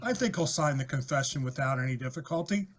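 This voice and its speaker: An actor playing a brisk, confident, reassuring police inspector in an old time radio drama. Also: male voice, medium pitch, lively intonation, slight background noise, lightly acted. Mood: brisk, official.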